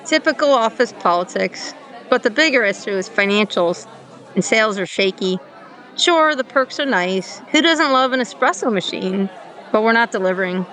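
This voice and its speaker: A woman's voice: on the high side, fairly bright tone. A woman playing a frustrated, thoughtful company director in a radio play, speaking in a hesitant, conversational way.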